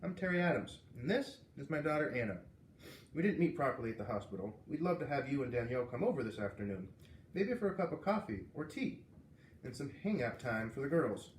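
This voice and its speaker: Polite, upbeat mom read by a man, children's storybook, slightly lifted pitch, roomy echo. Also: big pitch swings, fairly quick, distant mic, slight background noise, lightly acted. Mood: polite, welcoming. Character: friendly, welcoming mother, polite and upbeat.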